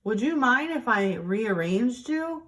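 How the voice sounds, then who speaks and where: A woman voicing a kindly, courteous hermit crab in a children's storybook, with gentle exclaiming politeness. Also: on the high side, lively intonation, slow, pitched above the performer's own voice. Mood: admiring, asking. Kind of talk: storybook character reading.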